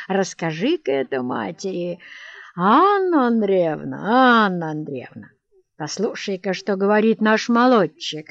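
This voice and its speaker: A woman's voice: mid-range voice, dry recording, highs cut off. A woman voicing a coarse, boisterous middle-aged estate manager with hearty guffaws and blustering threats, in an audiobook reading. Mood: jovial.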